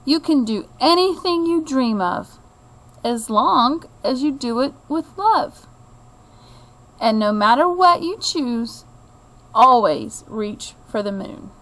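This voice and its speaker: A woman's voice: high-pitched, expressive, slow pace, fairly bright tone, heavily acted. Woman playing an emphatic, encouraging storybook father. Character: encouraging, loving father of a young boy.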